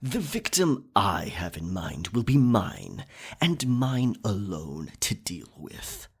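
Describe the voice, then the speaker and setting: A man playing a dominant, possessive pirate captain in an intimate ASMR roleplay, teasing and sly, with a raspy, breathy voice. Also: very animated, full character voice. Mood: vengeful relish. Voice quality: raspy, breathy, gravelly low voice. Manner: slow, deliberate, teasing pirate speech.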